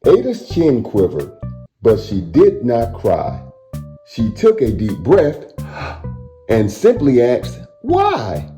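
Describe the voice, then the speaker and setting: A man, a children's storytime host, speaking playfully and directly to young listeners in an animated read-aloud style. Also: mid-range voice, takes it slowly, pauses often, roomy sound, soft background music.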